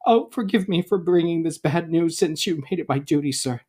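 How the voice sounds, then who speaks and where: A man's voice: moderate pace, a little mellow, slightly rough. A man voicing an earnest, worried young servant in a stage tragedy, pleading and deferential. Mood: sorrowful, apologetic. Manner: high-pitched, pleading, deferential. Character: earnest, worried young male servant bearing bad news. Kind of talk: dramatic reading of a modernised stage tragedy.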